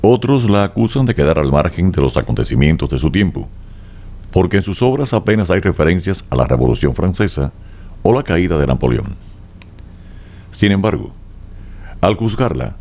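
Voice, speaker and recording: A man delivering scripted biographical radio narration in Spanish, measured and expressive, like a storyteller. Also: deep voice. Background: faint music.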